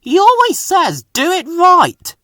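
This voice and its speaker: A man's voice: extremely high pitch, huge pitch swings, takes it slowly, pushed and bright, no room sound, clean studio sound, pitched above the performer's own voice, heavily acted. A man voicing cheeky, mischievous young twin engines in a children's storybook, with a rude, whining manner. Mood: cross, mocking.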